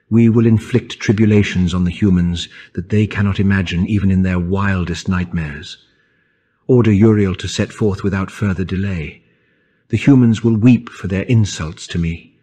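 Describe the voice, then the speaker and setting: A wrathful, imperious old god. The voice is very deep and husky, with pompous, thunderous delivery. It is audiobook drama. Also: male voice, fairly even intonation, moderate pace, warm tone. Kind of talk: audiobook character acting.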